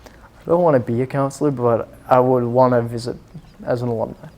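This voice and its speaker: A man's voice: medium pitch, fairly even intonation, fairly quick, highs cut off. A man who grew up in Singapore, talking as an interview guest in an earnest, rambling way with lots of fillers. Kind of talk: casual interview chat.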